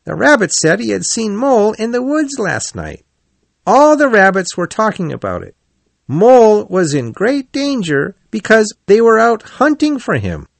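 A man's voice: high-pitched, wildly swooping pitch, measured pace, vocal fry, dry recording, voice pushed up, full character voice. A man voicing a bold, chatty otter in a storybook, swaggering and full of exclamation. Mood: excited, indignant. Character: bold, boisterous male otter, confident and chatty.

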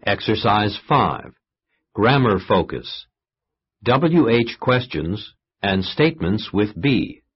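A man announcing exercise titles and instructions on an English course recording in a measured, formal way. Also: on the low side, very animated, slightly rough. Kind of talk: scripted instructional narration. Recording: muffled lo-fi tape.

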